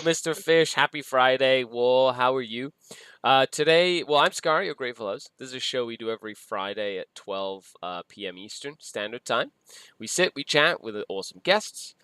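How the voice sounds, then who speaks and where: A man's voice: mid-range voice, animated, normal speed, bright, forceful tone, clear, resonant tone, no echo. A man hosting a casual hobby chat show, a little sniffly from a cold, upbeat and chatty with plenty of fillers. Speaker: host.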